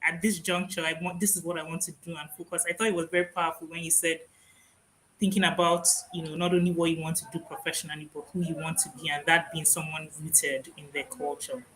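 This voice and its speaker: A woman's voice: deep voice, flat delivery, quick pace, a bit bright, low-quality recording. A woman hosting a podcast interview, from Nigeria with Igbo as her first language, talking reflectively and laughing often. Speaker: podcast host. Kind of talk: conversational interview.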